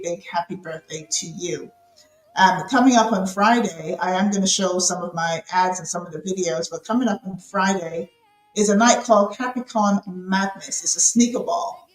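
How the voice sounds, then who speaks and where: A woman, a show host from the UK, talking casually and live with plenty of ums and repeated phrases. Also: mid-range voice. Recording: roomy echo.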